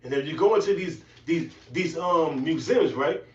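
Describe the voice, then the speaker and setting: A man's voice: fairly high pitch, animated, normal speed, darkish tone, recorded from a distance. A man giving insistent scripture commentary as a co-teacher, tagging his statements with casual interjections. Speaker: preacher, co-teacher. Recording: dull, roomy, off-mic.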